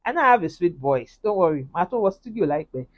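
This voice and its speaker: Nigerian woman vlogging, husky voice, heartfelt and earnest, kinda dull lo-fi sound. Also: on the low side, very animated, quick pace. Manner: earnest, heartfelt, talks straight to viewers. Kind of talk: casual personal testimony.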